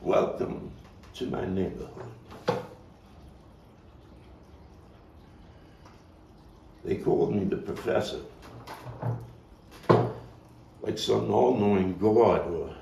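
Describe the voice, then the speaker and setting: An actor playing a weary, foul-mouthed, combative neighborhood philosopher in a halting, emphatic stage monologue, his voice gravelly. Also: low voice. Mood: brooding. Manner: rambling, profane, halting, emphatic street talk. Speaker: playwright and actor. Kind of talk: theatrical monologue at a table read. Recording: distant mic, roomy echo, faint noise.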